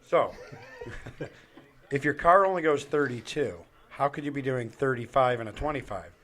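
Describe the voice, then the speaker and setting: A man's voice: mid-range voice, moderate pace, fairly bright tone. A man guesting on a casual podcast, brash and full of wisecracks.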